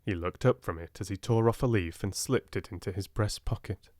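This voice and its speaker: A British man narrates an audiobook in a soft-spoken way. His voice is on the low side, and the recording is dry, with little room around it. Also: fairly quick, no echo. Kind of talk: soft-spoken storybook reading.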